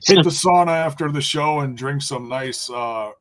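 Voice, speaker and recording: Male co-host, mid voice, playful banter, gets loud now and then. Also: medium pitch, normal speed. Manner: playful, jokey, bursts of loudness. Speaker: podcast co-host. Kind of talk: casual podcast chat.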